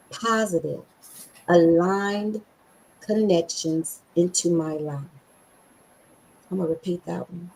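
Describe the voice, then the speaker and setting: A woman hosting a podcast, with a scratchy voice, talking with excited emphasis and slowly repeating affirmations. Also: on the low side. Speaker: podcast host.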